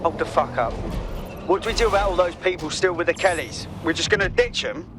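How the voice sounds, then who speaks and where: A man's voice: high-pitched, lively intonation, fairly quick, music in the background. An actor playing an outspoken male resistance hacker in a video game, with a blunt, casual way of talking. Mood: indignant.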